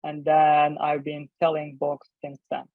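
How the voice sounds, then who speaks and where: A man's voice: on the high side, completely flat delivery, unhurried, vocal fry, no echo. Turkish-accented man on a phone-like line. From Turkey. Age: 30s. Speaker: guest, online seller and business owner. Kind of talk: interview answers, explaining. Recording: thin phone-like call audio.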